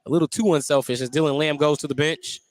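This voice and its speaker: A man's voice: fairly high pitch, fairly even intonation, fast talker. A man doing live play-by-play basketball commentary for radio, conversational and given to joking asides between calls. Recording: clear background noise, live gym broadcast.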